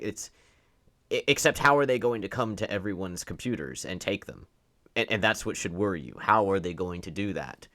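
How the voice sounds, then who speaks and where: Male online commentator, deep voice, emphatic and sardonic, delivering unscripted opinion with pointed rhetorical questions. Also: a bit bright. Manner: emphatic, stressing words for effect. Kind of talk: opinionated off-the-cuff political commentary.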